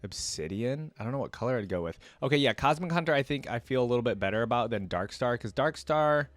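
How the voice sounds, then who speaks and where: A man's voice: medium pitch, lively intonation, fast, no room sound. A man giving casual reaction commentary, thinking aloud in informal, slangy language. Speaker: reaction video creator.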